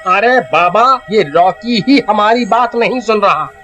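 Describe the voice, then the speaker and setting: High-pitched bossy village man played by an actor. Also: animated, measured pace, pushed and bright, a little hiss or hum, heavily acted. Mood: argumentative.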